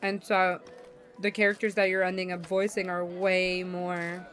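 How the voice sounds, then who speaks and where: A young woman streaming a visual novel, chatting casually in an expressive, slangy way. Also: lowish voice, a little slow, faint music behind. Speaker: game streamer. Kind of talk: casual chat while reading a visual novel.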